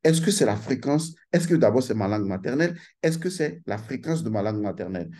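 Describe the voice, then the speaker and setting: A man holds forth in a lecturing monologue, his pitch rising and falling widely as he drives each point home. His tone is mellow and warm, and he talks in an emphatic, insistent way, repeating key phrases for effect. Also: animated, fairly quick. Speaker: channel host giving a talk.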